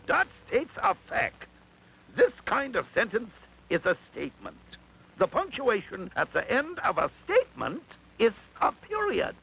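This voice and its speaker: A man playing an eccentric, excitable cartoon mad scientist professor who lectures in a grandiose, deliberate, dramatic way. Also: very high-pitched, creaky, dull audio, slight background noise, full character voice. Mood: grand lecturing.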